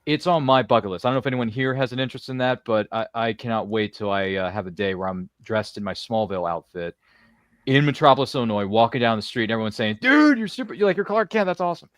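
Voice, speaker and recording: An animated man hosts a casual roundtable. He talks fast, with lively swings in pitch, and jokes in folksy exclamations. The sound is dry and close. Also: no echo. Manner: jokey, exclamatory, folksy mild oaths. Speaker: host.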